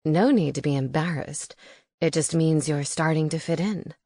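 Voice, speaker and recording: A woman voicing a dry, sardonic male owl familiar who speaks slowly and low. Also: mellow, no room sound. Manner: slow, low and unhurried.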